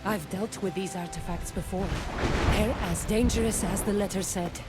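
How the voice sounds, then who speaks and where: A battle-hardened female mercenary played by an actress in a game cutscene. She speaks at a middling pitch in a serious, guarded, dry way, with music behind her. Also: moderate pace, close to an everyday voice. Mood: wary, matter-of-fact. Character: tough, level-headed female mercenary warrior. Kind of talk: video game cutscene acting.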